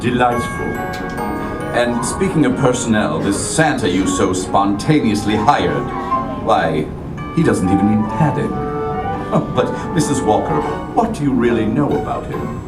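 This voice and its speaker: Sneering, echoey store personnel officer. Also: male voice, fairly low pitch, expressive, a little slow. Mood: snide. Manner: dry, sarcastic, pompous. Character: sneering, pompous department store personnel man, Mister Sawyer. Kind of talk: theatrical puppet-show dialogue. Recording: echoey, distant recording with loud music.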